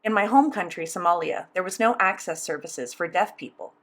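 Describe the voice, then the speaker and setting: A woman voicing a sign language interview as interpreter, speaking in a measured, steady first-person delivery. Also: fairly low pitch.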